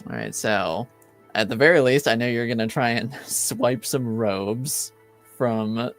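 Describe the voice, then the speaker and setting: A man narrating a tabletop game as game master in a casual, improvised, halting way. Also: mid-range voice, fairly bright tone, soft background music.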